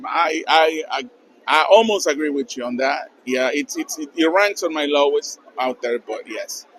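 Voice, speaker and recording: A man's voice: on the high side, moderate pace, fairly bright tone, slight background noise, low-quality recording. A man from Mexico with a thick Mexican accent, interviewing fans at a convention in a friendly, stammering way. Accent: Mexican Spanish. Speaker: interviewer and channel host. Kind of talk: casual fan interviews at a convention. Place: busy convention hall.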